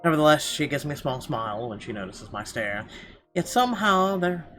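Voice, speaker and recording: A man reading a visual novel aloud as dramatic first-person narration, expressive with occasional stumbles. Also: fairly high pitch, brisk. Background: guitar music.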